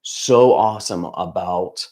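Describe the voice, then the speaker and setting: A young man hosting a casual livestream, hoarse and close to losing his voice, talking in an upbeat, rambling way with lots of fillers and restarts. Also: low voice, slow pace, mellow. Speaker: channel host.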